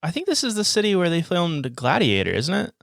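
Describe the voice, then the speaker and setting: A male podcast co-host asks curious questions, softening them with small fillers. His pitch moves up and down a lot, and his voice has a gravelly fry to it. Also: huge pitch swings, brisk, no echo, clean studio sound. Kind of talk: casual interview chat.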